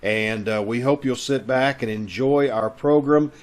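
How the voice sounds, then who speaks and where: A man with a medium-pitched voice acts as host for a radio troupe. He talks casually and directly to the audience, as in a live introduction to a show. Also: unhurried.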